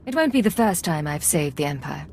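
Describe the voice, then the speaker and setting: A woman's voice: sits low, big pitch swings, a little fast, a little mellow, a little hiss or hum. A woman playing a cool, confident Imperial agent in a video game, terse and self-assured. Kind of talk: dramatic video game acting.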